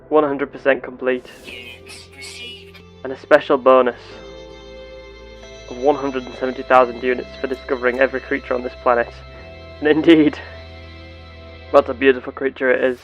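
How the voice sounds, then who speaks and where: A man narrating his gameplay in character as an earnest, curious starship captain, with formal, deliberate wording. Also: mid-range voice, little pitch movement, muffled recording, faint music behind. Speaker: player of a roleplay game series.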